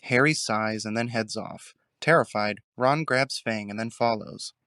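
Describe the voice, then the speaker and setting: A man's voice: lowish voice, measured pace, sharp tone, smooth, no echo. A man reading scripted narration for a fan audio drama, recorded close on the mic. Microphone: close mic, dry recording.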